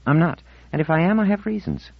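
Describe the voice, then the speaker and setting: Man voicing a defiant, moody teenage girl, pitched up. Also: fast talker, creaky, breathy edge, no echo, highs cut off, heavily acted. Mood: defensive. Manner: insistent, indignant, solemn.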